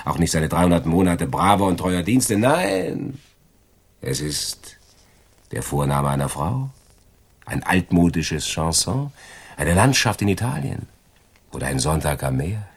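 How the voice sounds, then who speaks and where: A loyal criminal accomplice in a radio crime drama. His voice is extremely deep and husky, swinging expressively as he philosophizes in a worldly way. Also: heavily acted. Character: loyal, philosophizing male crook, the caring accomplice.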